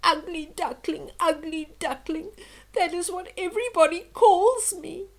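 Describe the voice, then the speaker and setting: A woman's voice: extremely high pitch, a little slow, pitched above the performer's own voice. A woman voicing a sad, lonely little boy duckling in a storybook, plaintive and self-pitying. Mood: sobbing, miserable.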